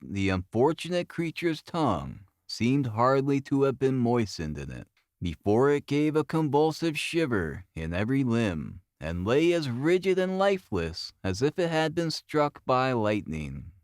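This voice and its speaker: A man's voice: mid-range voice, big pitch swings, unhurried, darkish tone, no room sound, studio quality. A man reading a classic detective story aloud as an audiobook narrator, in a scripted, dramatic storytelling style.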